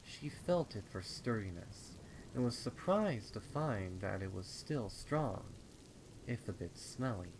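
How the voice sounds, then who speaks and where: A man's voice: fairly low pitch, animated, slow, darkish tone, rough recording. A man narrating a scripted horror story in a dramatic reading style. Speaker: fan fiction narrator. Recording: rain and storm sounds behind. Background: rain sound effects.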